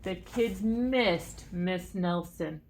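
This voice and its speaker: A woman's voice: fairly low pitch, drawn-out words, darkish tone, slight background noise. A woman reading a picture book aloud to children as a teacher, expressively and with casual asides.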